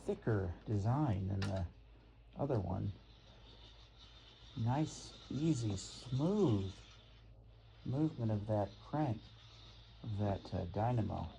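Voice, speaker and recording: A man's voice: on the low side, expressive, slow pace, gravelly, pauses often, mellow. A man thinking aloud in a casual unboxing vlog, muttering to himself and repeating words, on a noisy amateur recording. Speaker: product reviewer. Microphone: phone, handheld or nearby.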